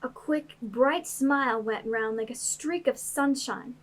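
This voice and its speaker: A woman's voice: high-pitched, unhurried. A woman narrator reading a classic family story in a scripted storybook style.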